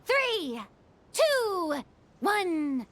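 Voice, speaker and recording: A woman's voice: extremely high pitch, big pitch swings, very slow, background noise, exaggerated voice. An actress playing a theatrical, exacting but encouraging young woman film director in a video game. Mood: commanding, encouraging. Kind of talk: animated video game voice acting. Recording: faint music behind.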